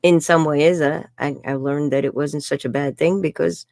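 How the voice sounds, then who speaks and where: A woman's voice: low voice, fairly even intonation, moderate pace, a little mellow, no echo, studio quality. A woman, telling her life story frankly as an interview guest and laughing often. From New York. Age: 70s. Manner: frank and chatty, laughs often, says "bloody". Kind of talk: candid personal storytelling in an interview.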